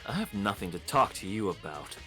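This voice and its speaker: A man's voice: on the low side, big pitch swings, fairly quick, over music. A man voicing a cold, dismissive young male student, curt and disdainful, in a fan-voiced visual novel.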